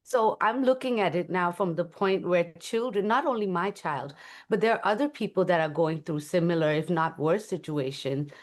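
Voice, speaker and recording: Woman, low voice, raised in Thailand; earnest, reflective guest. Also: normal speed. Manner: earnest, reflective, with soft laughs. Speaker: guest, musician and advocate. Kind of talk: conversational interview.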